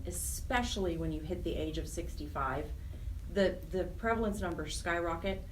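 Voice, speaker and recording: Lowish voiced woman guest on radio, earnest, kinda halting, bit far from the mic. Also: a little hiss or hum. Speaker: guest, Alzheimer's charity program director. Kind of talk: radio interview, explanatory talk.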